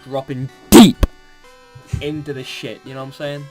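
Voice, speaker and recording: Man, mid-pitched voice, casual banter, mock-dramatic reading, teasing, sweary, music behind. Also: flat delivery, moderate pace, darkish tone. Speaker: co-host reading fan fiction aloud.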